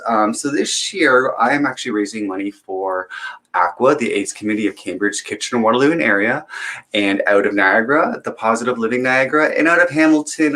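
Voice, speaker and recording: A man hosting a children's storytime, chatting to viewers and reading aloud in an expressive, theatrical way. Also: mid-range voice. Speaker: children's storytime host and reader. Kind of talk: chatty introduction and animated picture-book reading aloud.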